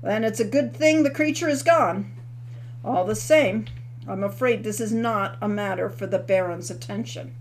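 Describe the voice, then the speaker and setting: A woman's voice: mid-range voice, slight background noise, natural voice. A woman voicing a weary, plain-spoken middle-aged gate guard in a storybook reading. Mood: mild. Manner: mild, unhurried, puzzled.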